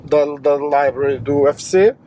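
A man hosting a casual interview while driving, eager and quick to chime in. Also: a little high, warm tone, slight background noise. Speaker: podcast host. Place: car driving along a beach street.

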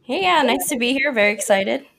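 A woman's voice: high-pitched, lively intonation, fairly quick, fairly bright tone. Chatty young woman from Hawaii. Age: young adult. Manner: friendly and chatty, lots of "like" and "uh". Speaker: cook and guest. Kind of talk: casual interview chat.

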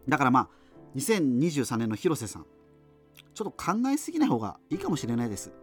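Radio drama host: nerdy, rambling, self-interrupting, very lively intonation, music underneath. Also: male voice, mid-range voice, quick pace. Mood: resigned, musing. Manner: hesitant, digressive, self-interrupting. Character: rambling, geeky male radio host facing doomsday in 2039. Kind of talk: radio drama monologue, conversational.

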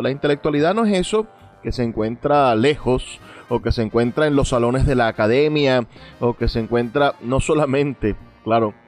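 A Venezuelan man speaks as a radio host, with music playing softly behind him. His pitch sits in a middle male register. He talks conversationally and earnestly, leaning on repeated words for emphasis. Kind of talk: conversational radio presenting about literature. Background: background music.

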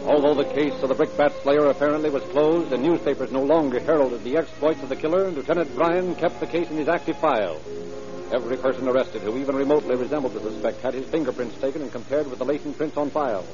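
An actor as a grave crime-show narrator, formal and dramatic in scripted vintage radio style. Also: male voice, mid-range voice, fast talker, music in the background, close to an everyday voice. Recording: muffled old radio recording.

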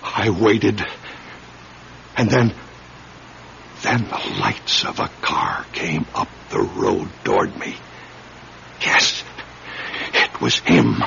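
A desperate man unburdening himself in a radio drama. His voice is gravelly and he stumbles back over his words in distress. The recording is dull and lo-fi. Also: lowish voice, a little hiss or hum, heavily acted. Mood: hushed, tense. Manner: halting, repeating words as if distraught. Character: anguished, desperate man confessing to his wife. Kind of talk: dramatic radio play acting.

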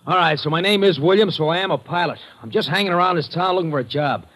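A man's voice: fairly high pitch, fast, natural voice. Man acting a blunt, defiant American pilot. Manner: blunt, slangy, defensive. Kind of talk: 1950s radio drama acting. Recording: noisy, muffled old radio recording.

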